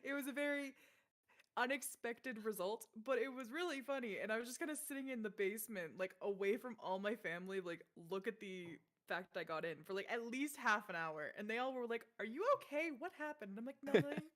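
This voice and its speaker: A woman with a somewhat high voice tells her story in a relaxed online Q&A, amused and animated as she goes. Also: a little fast. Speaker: voice actor guest. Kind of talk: casual livestream Q&A.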